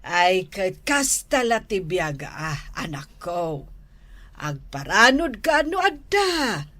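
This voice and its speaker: A woman plays a gossipy older mother in a village comedy drama. She speaks slowly in a mid-pitched voice, folksy, with a northern Philippine accent. The recording is dry. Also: sing-song, pushed and bright, dry recording, heavily acted. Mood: sympathetic.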